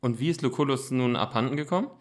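A man's voice: mid-range voice, fast talker, close to an everyday voice. A man playing an eager, self-assured boy detective who takes charge, in an amateur German radio play. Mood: curious.

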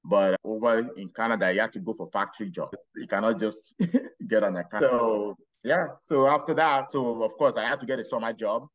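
A middle-aged man from Nigeria with a Nigerian accent, telling his life story as a podcast guest in a relaxed, rambling way. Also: on the low side, dull audio. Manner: unhurried, rambling with restarts and laughs. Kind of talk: casual interview storytelling.

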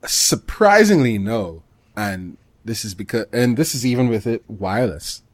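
A man co-hosting a podcast, chatting casually about gaming in a halting way, often rephrasing himself mid-thought. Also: fairly low pitch, lively intonation, dry recording. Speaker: podcast co-host.

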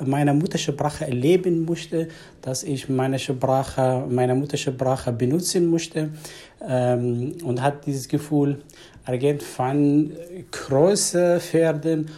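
A man from Syria, speaking haltingly in German about missing his mother tongue, searching for words. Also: fairly high pitch, warm tone. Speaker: interviewee. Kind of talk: personal testimony.

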